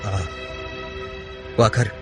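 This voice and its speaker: An actor playing an idealistic, troubled young rebel leader in an anime audio drama, intense and questioning. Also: male voice, slow pace, over music, natural voice. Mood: bitter.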